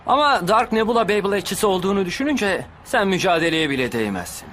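A cool teenage cartoon hero, speaks high with big swings in pitch. He sounds self-assured and dismissive. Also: sing-song, quick pace, noisy background. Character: cool, confident teenage male battler. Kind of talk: dubbed anime battle acting. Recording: music behind the voice.

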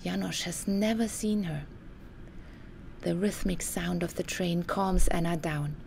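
A woman narrates a scripted documentary story. Her voice sits low with plenty of fry, and her delivery is measured and careful. There is faint noise behind her. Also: fairly even intonation, darkish tone.

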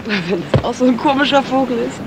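A woman's voice: high voice, lively intonation, very noisy. An actress playing a cool, evasive woman witness in a 1970s German radio crime play, answering dryly. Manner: dry, evasive, unhurried answers.

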